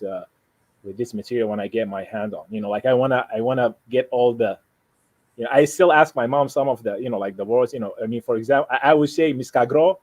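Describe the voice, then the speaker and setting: Sudanese guy w arabic accent chatting casually, mid pitch, keeps restarting and saying you know, dry close sound. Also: darkish tone, no room sound. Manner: halting, repeats and rephrases, frequent "you know". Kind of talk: casual conversation, explaining personal plans.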